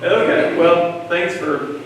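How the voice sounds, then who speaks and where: Brief: young male preacher, slightly high voice, relaxed and hesitant teaching, long hall echo. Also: slight background noise. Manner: casual, halting, many "uh" fillers. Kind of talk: Bible class teaching. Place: church meeting room. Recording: echoey church hall, far from mic.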